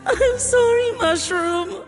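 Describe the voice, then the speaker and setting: A woman's voice: very high-pitched, takes it slowly, over music. A woman voicing a nervous, flustered young kitchen boy in a video game, stammering and apologetic. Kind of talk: video game character dialogue.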